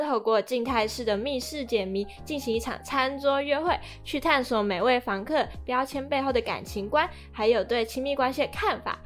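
A woman hosting a podcast, animated and self-deprecating, moving between scripted introduction and casual chat. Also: on the high side. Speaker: podcast host.

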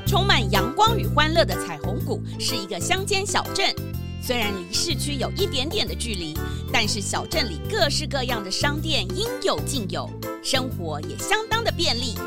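Taiwanese lady storyteller for children, very expressive pitch, loud music behind her. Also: moderate pace. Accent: Taiwanese Mandarin. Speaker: narrator. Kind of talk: scripted children's story narration.

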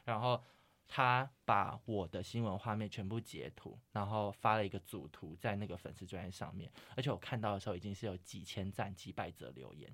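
A young man with a Taiwanese Mandarin accent chatting casually as a podcast host, telling stories in an animated way with English words mixed in. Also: lowish voice, fairly quick. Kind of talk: casual anecdote chat.

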